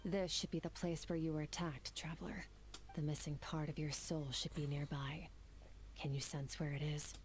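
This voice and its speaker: A composed woman warrior speaks with a low, even voice, as an actress plays her. Her delivery is dry and commanding, never hurried. A faint hiss sits under the game audio. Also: lightly acted. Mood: calm. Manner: calm, measured, dry and commanding. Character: cool, composed young woman warrior, aloof. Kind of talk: video game voice acting.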